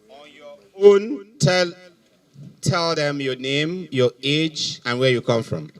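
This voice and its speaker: Authoritative Nigerian police officer questioning. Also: male voice, fairly high pitch, animated, takes it slowly, recorded from a distance, rough recording. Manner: authoritative, probing. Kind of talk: public interrogation at a press briefing. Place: outdoors in a police compound. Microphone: handheld microphone.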